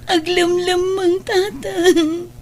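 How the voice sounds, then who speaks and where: A woman's voice: very high-pitched, little pitch movement, slow. A woman with a Filipino Ilocano accent playing a battered, remorseful mother, tearful and pleading in a melodramatic radio drama. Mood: tearful, distraught. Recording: music bed under radio drama dialogue.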